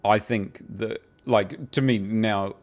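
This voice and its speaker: A man chatting casually about a video game he has been playing, rambling with restarts and the odd swear, building into excited bursts. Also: fairly low pitch, slow pace. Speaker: gaming video creator. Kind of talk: casual unscripted game talk to camera.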